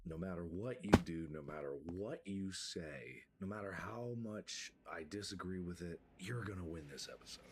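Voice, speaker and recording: A man hosting a casual podcast with a lost voice, hoarse and half-whispering, in a playful mock-grandiose manner. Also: low voice, normal speed, few pauses, heavy background noise. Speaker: podcast host.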